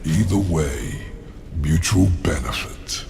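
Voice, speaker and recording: An actor playing a scheming, imperious demon lord in a video game, with a menacing, deliberate, theatrical delivery. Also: male voice, low voice, a little mellow, a little hiss or hum. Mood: calculating. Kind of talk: dramatic video game voice acting.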